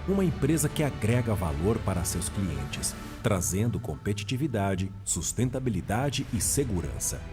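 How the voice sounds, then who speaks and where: A man with a low voice reads a corporate promotional script over clear background music. His delivery is measured and emphatic, with a lively rise and fall. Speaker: corporate video narrator.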